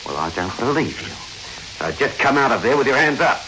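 An actor playing a sarcastic, threatening criminal boss in a 1960s radio thriller. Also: male voice, medium pitch, sing-song, fairly quick, pushed and bright. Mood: menacing. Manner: sardonic, threatening, commanding. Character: menacing, sarcastic male criminal boss with gun. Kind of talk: 1960s radio suspense acting. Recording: hissy, noisy old radio recording.

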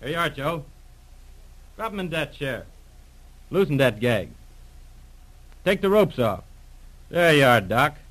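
A man's voice: fairly high pitch, very animated, a little slow, long pauses, fairly bright tone. A man playing a tough gangster henchman, slangy and bossy, in 1940s radio drama style. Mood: barking orders. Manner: slangy, barking orders. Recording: old radio serial recording.

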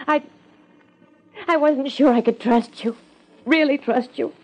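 A woman's voice: high-pitched, a little slow. A woman acting as an evasive young heiress in hiding in a 1950s radio drama, hesitant and pleading. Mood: sheepish. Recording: muffled old radio drama.

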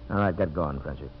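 An actor playing a smooth, calculating businessman villain in a 1950s radio drama, with an insinuating manner. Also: extremely low pitch. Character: scheming, smooth businessman villain plotting sabotage. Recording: muffled, hissy old radio recording with hum.

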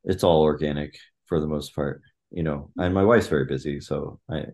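A middle-aged man with a deep, low-pitched voice talks through his family life in a relaxed interview. He speaks reflectively and in fits and starts, full of fillers and restarts. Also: moderate pace. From Thailand. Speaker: podcast guest. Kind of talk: conversational interview.

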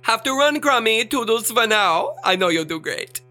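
An actor playing a flamboyant, fussy, excitable radio star with emphatic, theatrical delivery in a children's audio drama. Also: mid-range voice, moderate pace, faint music behind. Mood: breezy, delighted.

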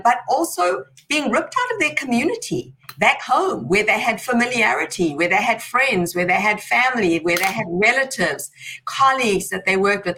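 A woman's voice: mid-range voice, pushed and bright, low-quality recording. A woman hosting an interview, asking questions with frequent ums and easy laughs. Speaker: podcast host.

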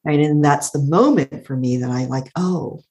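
A middle-aged woman with a calm, soothing voice, thoughtfully telling her life story as an interview guest and laughing often. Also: sits low, moderate pace. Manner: warm, thoughtful, laughs often, uses "like" a lot. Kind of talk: reflective personal storytelling.

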